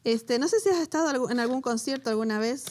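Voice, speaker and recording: A high-pitched woman teaching from the Bible in relaxed conversation. She talks with a lot of feeling, laughs easily, and turns tender when she recalls her own story. She grew up in Latin America. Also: fast, a little hiss or hum. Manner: animated, laughs often, emotional when recalling personal story. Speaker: co-host of a Bible study show.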